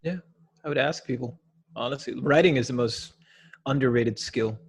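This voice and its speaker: Young male podcast guest, husky, loose and candid, slangy delivery. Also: medium pitch, normal speed. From Minneapolis, USA. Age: young adult. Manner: animated, blunt, uses slang like dude. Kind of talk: casual conversation.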